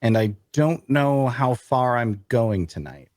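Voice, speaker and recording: Guarded, grumpy male wanderer played by a man, unhurried and dry with wide pitch movement, close dry sound, fantasy audio drama. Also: expressive, darkish tone, no echo. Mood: weary, reluctant. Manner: dry, curt, hesitant. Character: guarded, grumpy, evasive loner male traveler. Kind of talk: dark fantasy audio drama table read.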